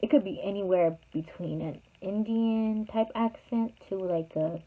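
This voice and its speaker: A woman's voice: medium pitch, measured pace. A young woman telling a personal story to her viewers in a rambling, chatty way, full of fillers. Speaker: vlogger. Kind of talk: casual storytime. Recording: tinny phone-like sound.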